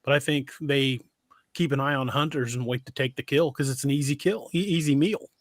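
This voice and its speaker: A man's voice: mid-range voice, fairly quick, no room sound. A man chatting casually as a podcast co-host, joking and sometimes stumbling over his words. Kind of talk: casual conversational discussion.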